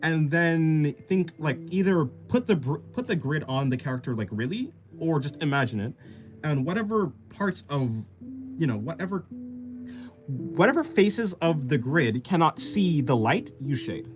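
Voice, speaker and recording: A man's voice: fairly high pitch, lively intonation, unhurried, faint music behind. A man streaming while he draws, chatting casually and explaining art, with rambling talk that often restarts mid-thought. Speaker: artist streamer. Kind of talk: casual live-stream chat while drawing.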